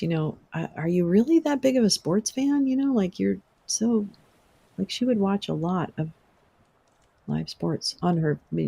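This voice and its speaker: A young woman hosting a casual livestream, chatting with viewers, swearing and laughing often, her voice wearing hoarse. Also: on the low side, dark tone. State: losing her voice. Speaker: livestream host. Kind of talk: casual livestream chat reading viewer comments.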